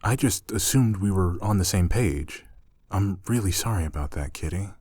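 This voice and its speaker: A young man acting as a gentle, teasing roommate in an intimate ASMR roleplay, speaking soothingly and coaxingly, close and soft. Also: deep voice, expressive, moderate pace, husky, dry recording. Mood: apologetic concern. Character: gentle, teasing male roommate soothing a shy catgirl-like companion.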